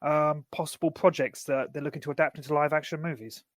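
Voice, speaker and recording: A man's voice: fairly high pitch, steady tone, quick pace, dry recording, studio quality. A man co-hosting a podcast in casual chat, thoughtful and fairly fluent. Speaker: podcast co-host.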